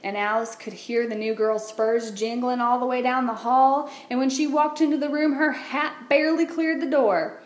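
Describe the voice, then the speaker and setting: A woman reads a picture book aloud as a teacher would to children. Her voice sits a bit high and her phrasing is expressive and deliberate. The room echoes, with faint noise behind her. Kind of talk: read-aloud storytelling for children. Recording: roomy echo, light background noise.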